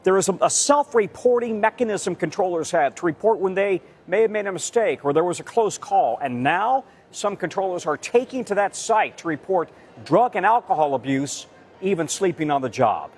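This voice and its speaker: American guy doing a tv news report, higher voice, really lively intonation. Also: normal speed, husky. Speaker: TV news correspondent. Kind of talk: scripted broadcast news report.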